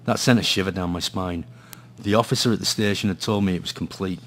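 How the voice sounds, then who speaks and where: A man's voice: low-pitched, fast, background noise. An actor playing a gloomy hard-boiled male detective, narrating and talking in a noir radio play with brooding drama. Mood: brooding, grim.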